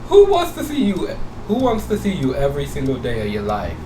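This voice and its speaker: A man's voice: a little high, huge pitch swings, brisk. A man reacting casually to a game, ranting and swearing, repeating his phrases for emphasis. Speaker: gameplay streamer reacting to a visual novel. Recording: echoey, distant mic with loud background noise.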